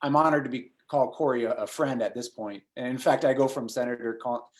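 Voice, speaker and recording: Man, around forty, interview host, hesitant, many fillers, medium pitch. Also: steady tone. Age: 40s. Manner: halting, many ums and restarts. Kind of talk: casual interview hosting.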